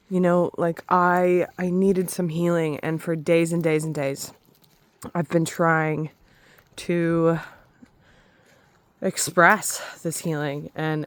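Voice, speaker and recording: A woman talking slowly and softly in a reflective spiritual vlog, pausing and restarting often, with a slightly raspy voice, alone in a forest. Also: fairly low pitch, no echo. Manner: soft, slow, hesitant, with many restarts. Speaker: spiritual vlogger.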